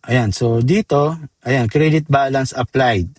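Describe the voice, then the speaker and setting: A Filipino man presenting a software tutorial step by step in an informal, chatty way, mixing in English terms. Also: medium pitch, slow, no room sound. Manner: informal, addresses viewers as guys, code-switches into English terms. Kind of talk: casual screen-demo tutorial, step-by-step.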